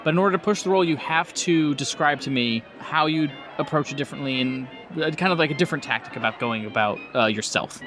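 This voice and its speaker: A man's voice: on the high side, fast, few pauses, a bit bright. A man running a tabletop role-playing game, improvising narration and explaining rules as game master. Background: music.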